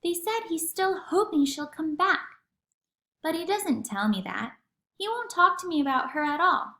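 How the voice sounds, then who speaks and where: Woman voicing an earnest young girl, high and echoey. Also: expressive, a little slow, fairly bright tone, heavily acted. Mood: wistful. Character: ten-year-old girl, earnest, motherless, hopeful. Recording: echoey room.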